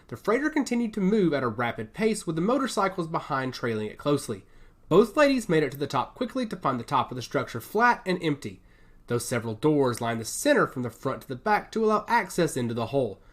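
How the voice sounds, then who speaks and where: A man reading a scripted dramatic story as narrator, in an expressive, descriptive way. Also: on the high side, brisk. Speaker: audio fiction narrator.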